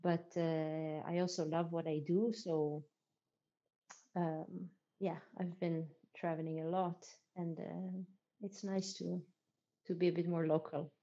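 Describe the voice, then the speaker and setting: Reflective Israeli-raised woman, low mellow voice. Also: flat delivery, a little slow. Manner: reflective, hesitant with many fillers. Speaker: podcast guest, dancer. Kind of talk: casual conversational interview. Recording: dull lo-fi audio.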